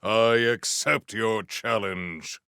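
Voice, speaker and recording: An actor playing a noble, earnest warrior hero in a video game, speaking in a pompous, formal and grandiose way. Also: male voice, lowish voice, fairly even intonation, extremely slow, gravelly, bright, forceful tone, clear, resonant tone, dry recording, clean studio sound, full character voice. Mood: solemn, earnest. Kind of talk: video game character voice acting.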